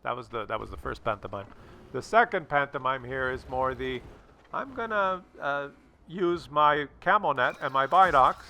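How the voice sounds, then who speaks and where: A man's voice: mid-range voice, moderate pace, vocal fry, bright, forceful tone, a little hiss or hum. A man giving casual, rambling video game commentary in a conversational, mildly sardonic way. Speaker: gameplay commentator.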